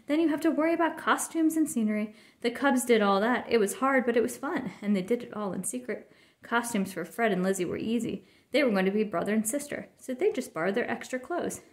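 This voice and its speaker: A woman reading a children's picture book aloud in an expressive narrating style. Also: a little high. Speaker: storybook reader.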